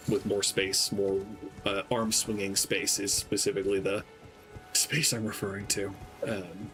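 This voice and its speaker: A young man streaming a game, talking in a gentle, soft-spoken, rambling way with lots of ums. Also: fairly low pitch. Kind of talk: casual gameplay stream chat. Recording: game music playing behind. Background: game music.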